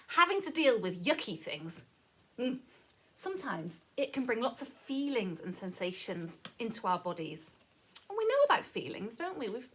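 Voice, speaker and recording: A woman with a high, slightly husky voice reads a story to young children. Her pitch swings widely in a sing-song, expressive way. She explains things as a teacher would and keeps prompting her listeners with questions. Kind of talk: animated children's story read-aloud with explanations.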